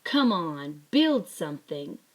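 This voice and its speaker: A woman voicing gruff, bossy young boys who scorn girls, in a children's storybook. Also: low-pitched, big pitch swings, slow, exaggerated voice. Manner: snarling, bossy, grudging. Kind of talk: children's storybook character voices.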